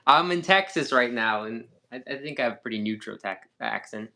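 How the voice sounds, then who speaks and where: A man's voice: mid-range voice, lively intonation, brisk, gravelly, bright, forceful tone. A man reviewing sunglasses in a casual chat, talking in a hesitant way with lots of fillers and restarts.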